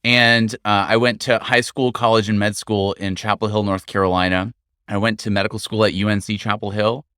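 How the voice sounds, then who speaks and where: A middle-aged American man, a guest doctor, talking through his career in a measured, articulate way. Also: lowish voice, flat delivery, fairly bright tone, smooth, dry recording, studio quality. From United States. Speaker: guest interventional radiologist. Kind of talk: conversational interview. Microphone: studio microphone.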